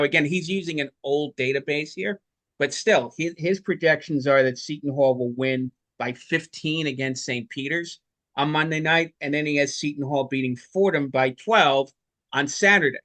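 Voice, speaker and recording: A man's voice: mid-range voice, bright, forceful tone. A man co-hosting a sports podcast, giving conversational basketball analysis in an emphatic, explanatory way.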